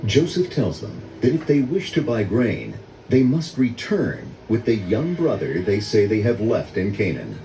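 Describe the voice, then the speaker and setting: A man's voice: fairly low pitch, sing-song, a little slow, hardly any pauses, mellow. An older man giving scripted narration for a storybook read-along. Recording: roomy, distant, faintly noisy audio.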